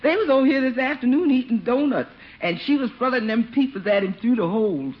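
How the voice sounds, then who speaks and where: An actress plays a sassy housekeeper in a vintage radio comedy. She talks in a folksy way, lively in pitch and full of teasing laughter, on a dull old recording. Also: quick pace, a little hiss or hum, close to an everyday voice. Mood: teasing, amused. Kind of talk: 1950s radio sitcom acting.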